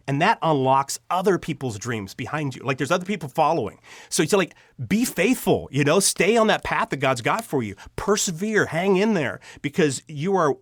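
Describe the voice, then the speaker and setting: A man's voice: a little high, no room sound. Earnest man giving personal testimony, dry sound. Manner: earnest, emphatic, repeats himself and restarts sentences often. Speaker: interview guest. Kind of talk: personal testimony in a casual interview.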